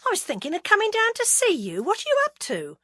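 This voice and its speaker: A woman's voice: very high-pitched, wildly swooping pitch, fast, a bit bright, no room sound, voice pushed up, heavily acted. A woman voicing a chirpy, cheeky young man with a lilting way of talking.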